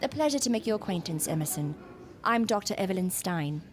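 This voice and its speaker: A woman's voice: medium pitch, expressive, brisk, close to an everyday voice. A woman playing an eccentric, imperious doctor in a gothic audio drama, with theatrical, archaic and knowing delivery. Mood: commanding, intrigued. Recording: music under the voice.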